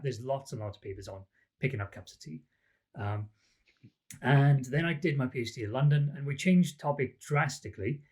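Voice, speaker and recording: This is a middle-aged Welsh man speaking as a podcast guest. He explains things loosely, with plenty of ums, and likes to poke fun at himself. His voice sits at an ordinary pitch. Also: breathy edge. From Wales. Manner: chatty and self-deprecating, frequent ums. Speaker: academic guest, lie detection researcher. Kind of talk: relaxed interview answers.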